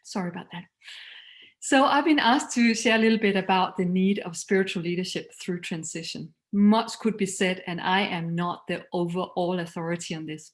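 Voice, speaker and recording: A woman from Denmark with a Danish accent, a mission leader giving a prepared talk in an earnest, measured way that trails off softly at phrase ends. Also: on the low side.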